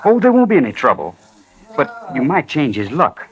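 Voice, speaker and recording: A man's voice: a little high, wildly swooping pitch, normal speed, dull audio. A man playing an earnest, worried young rancher, folksy and deferential, in an old radio western. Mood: shy, pleading. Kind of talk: 1950s radio western acting.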